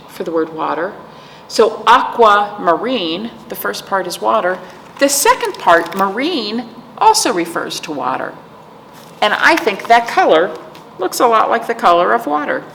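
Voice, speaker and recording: A woman hosting a storytime for children, reading aloud and chatting in a gentle, playful manner to young listeners. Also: medium pitch, very animated, moderate pace. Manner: gentle, playful, addressing young viewers as friends. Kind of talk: read-aloud and chat for children. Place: indoors, room with aquamarine wall. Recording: echoey room with clear background noise.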